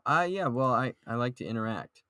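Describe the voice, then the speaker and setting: A man's voice: mid-range voice, lively intonation, brisk, pushed and bright, no room sound, studio quality. A man chatting casually and playfully as a podcast co-host on an online voice call. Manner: playful, jokey. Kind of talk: casual chat about manga.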